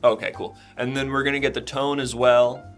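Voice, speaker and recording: A man playing a patient, deadpan casting director who explains things drily in an improvised comedy scene. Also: medium pitch, a little fast, soft background music, lightly acted.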